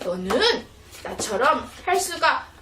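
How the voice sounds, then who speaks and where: A woman voicing a boastful Wind from a children's fable in a slow, exaggerated storytelling delivery. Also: high-pitched, sing-song, exaggerated voice. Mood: boastful, taunting. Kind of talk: children's storybook read-aloud. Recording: roomy, distant mic, faint noise.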